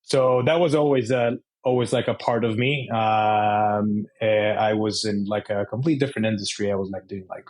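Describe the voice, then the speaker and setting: A man with an Israeli accent giving casual interview answers as a guest, in halting, loosely phrased speech. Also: fairly low pitch, fairly even intonation, darkish tone. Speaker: interview guest, bike shop owner.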